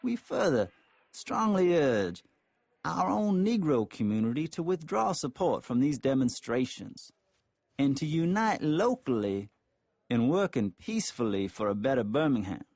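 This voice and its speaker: A man reading a formal written statement aloud in measured, deliberate phrases. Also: mid-range voice, big pitch swings, vocal fry, a little mellow, no room sound, studio quality. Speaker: reader.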